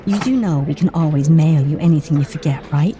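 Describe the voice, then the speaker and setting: A woman's voice: low-pitched, a little fast, over music, close to an everyday voice. A woman voicing a breezy, reassuring female business partner, confident and flirty, in an audio drama.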